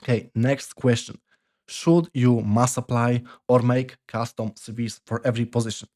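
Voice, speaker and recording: Young Polish-accented man, casual vlog advice, stops and restarts his sentences, ordinary pitch. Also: steady tone, a little mellow. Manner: halting, many fillers and restarts. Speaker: software developer vlogger.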